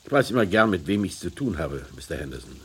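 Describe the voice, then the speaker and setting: An actor playing a seasoned, unruffled boss or police inspector in vintage German crime drama, with dry, casual authority. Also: male voice, sits low, sing-song, fast talker, fairly bright tone, breathy edge, heavily acted. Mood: probing.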